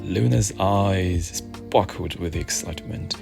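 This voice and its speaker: A man softly narrating a soothing ASMR bedtime story in a gentle, unhurried, hushed manner over music. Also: sits low, vocal fry. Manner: gentle, hushed, unhurried, at times near a whisper. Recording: music behind the voice.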